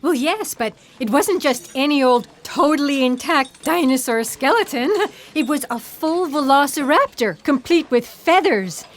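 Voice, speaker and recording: An actress as an anxious female paleontologist in a comic sci-fi audio drama. Her voice sits high. She is defensive and easily flustered, halting as she explains herself. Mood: earnest. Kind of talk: comedic sci-fi audio theatre acting.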